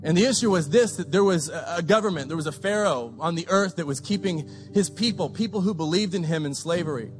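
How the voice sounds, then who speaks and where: High-voiced man preaching with passion. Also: a little fast, hardly any pauses, faint music behind. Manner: impassioned, repetitive preaching with shouted peaks. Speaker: pastor. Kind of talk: sermon.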